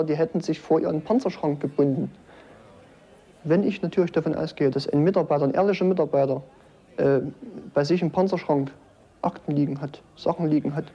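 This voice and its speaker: A man's voice: on the high side, dark tone, husky. A man from East Germany speaking colloquially and defensively in a spontaneous interview. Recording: dull, muffled lo-fi tape.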